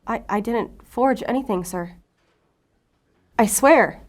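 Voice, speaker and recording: A woman's voice: a little high, slow. An actress playing an earnest, determined female agent in an audio drama, pleading and pressing. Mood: flustered pleading. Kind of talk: audio fiction drama acting.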